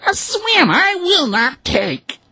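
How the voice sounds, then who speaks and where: A man voicing a mouthy young male duckling in a high, dismissive voice. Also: wildly swooping pitch, slow pace, pushed and bright, no room sound, full character voice. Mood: sassy.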